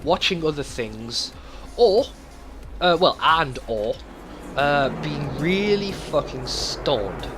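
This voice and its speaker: A man with a lively, swooping delivery and a slightly high voice gives casual gameplay commentary. He rambles and swears loosely as a let's play host, with faint music behind him. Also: slow pace.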